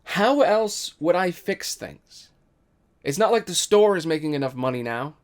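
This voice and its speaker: A man's voice: a little high, expressive, measured pace, voice pushed up. A man voicing a stubborn, commanding gambling father who is proud and curt, in a dramatic story reading. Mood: annoyed, defiant.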